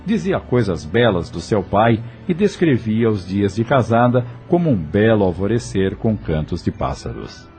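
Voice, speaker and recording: Low-voiced man narrating an audio novel. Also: sing-song, moderate pace, hardly any pauses, darkish tone. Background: background music.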